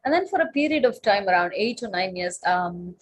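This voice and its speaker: A woman whose first language is Tamil speaks on a literary panel with a slightly husky voice at a middle pitch. She thinks aloud, with fillers and restarts. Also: lively intonation, fairly quick. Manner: thoughtful, many fillers and restarts. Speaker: panel guest, writer and translator. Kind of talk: panel discussion answer.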